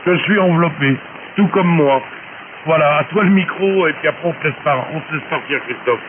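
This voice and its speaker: A man's voice: on the high side, a little fast. A man chatting casually as an amateur radio operator over a shortwave transmission. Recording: narrow-band shortwave radio with clear hiss.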